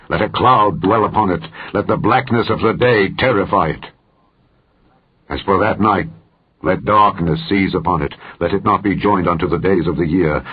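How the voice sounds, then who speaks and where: A man's voice: low-pitched, husky. A man reading the part of an anguished, grieving man lamenting his life in a solemn, declamatory, mournful manner. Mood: grave, cursing. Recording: tinny, phone-like narrow-band recording.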